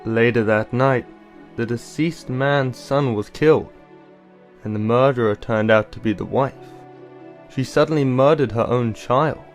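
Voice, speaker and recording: Man acting a calm, eloquent teenage older brother. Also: mid-range voice, unhurried, soft background music, natural voice. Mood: mysterious, calm. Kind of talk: amateur audio drama acting.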